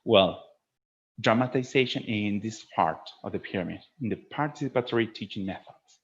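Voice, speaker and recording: A man's voice: on the low side, moderate pace, muffled recording. A man teaching a webinar to fellow teachers in a didactic way, often checking in with a short questioning tag at the ends of phrases.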